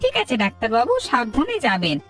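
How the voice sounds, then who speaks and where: A woman's voice: fairly high pitch, huge pitch swings, fast talker, distant mic, soft background music. A woman voicing a humble, deferential, welcoming village tea-stall man in a cartoon. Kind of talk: Bengali cartoon story dialogue.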